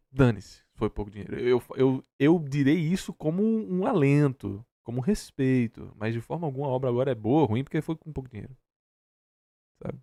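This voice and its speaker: A man's voice: mid-range voice, lively intonation, moderate pace, mellow, dry recording, studio quality. A man with a Brazilian accent reacting in a casual, heated debate, blunt and opinionated, repeating himself for emphasis in slangy speech. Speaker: reaction streamer.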